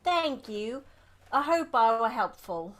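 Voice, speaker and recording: A woman's voice: a little high, expressive, slow pace, fairly bright tone. A woman playing a deferential, chatty young servant with homely, ungrammatical speech in an audio drama. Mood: earnest testimony.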